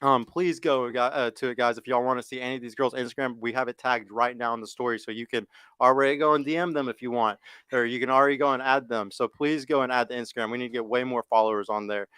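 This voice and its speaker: A man's voice: mid-range voice, steady tone, sharp tone, clean tone, no echo. A man hosting a casual dating podcast, hyped up and cursing freely. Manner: brisk, hype, swears freely. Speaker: dating show host.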